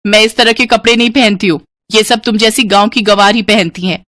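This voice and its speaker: A woman's voice: medium pitch, fairly even intonation, a little fast, fairly bright tone, no echo, clean studio sound, close to an everyday voice. A woman voicing a haughty, suspicious young city sister-in-law in a moral cartoon, with a scornful, curt, accusing manner. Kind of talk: Hindi moral cartoon dubbing.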